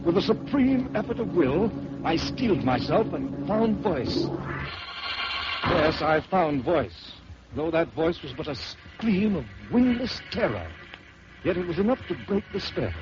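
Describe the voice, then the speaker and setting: A man narrating a first-person horror story in dramatic old-time radio style, swelling and dropping to hushed tones. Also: a little high, sing-song, measured pace, over loud music. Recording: dull lo-fi old radio recording with hiss.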